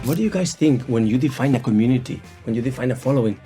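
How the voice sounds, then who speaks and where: A man's voice: mid-range voice, animated, fast talker, over loud music. A man with a Spanish accent, a native Spanish speaker guesting on a podcast, earnest and casually swearing.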